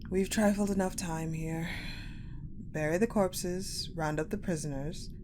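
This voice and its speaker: Woman acting a slow, sadistic ice-queen general. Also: on the low side, noisy background, close to an everyday voice. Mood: commanding. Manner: haughty, taunting, deliberate, often dropping to a breathy murmur. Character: sadistic, imperious ice-queen general toying with her prisoner. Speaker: voice actor in audio roleplay. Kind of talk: dramatic audio roleplay acting.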